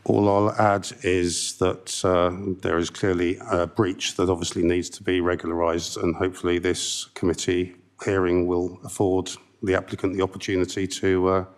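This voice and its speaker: Deep, flat man at a hearing. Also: normal speed. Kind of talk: formal statement at a hearing.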